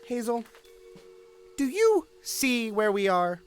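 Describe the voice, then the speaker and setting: Brash, high-pitched male detective. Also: sing-song, takes it slowly, soft background music, heavily acted. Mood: sarcastic, insistent. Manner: sarcastic, emphatic. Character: cynical, brash, impatient male police detective. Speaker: voice actor. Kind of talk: audio drama acting.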